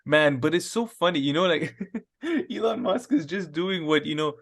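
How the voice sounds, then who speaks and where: A man's voice: fairly high pitch, lively intonation, moderate pace, a bit bright. A man co-hosting a comedy podcast, chatting casually and easily, agreeing often and laughing between his lines. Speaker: podcast co-host.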